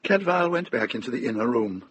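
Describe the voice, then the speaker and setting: An actor narrating as a grave, measured storyteller in a scripted radio drama. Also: male voice, mid-range voice, expressive, noisy background, lightly acted. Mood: measured, dry.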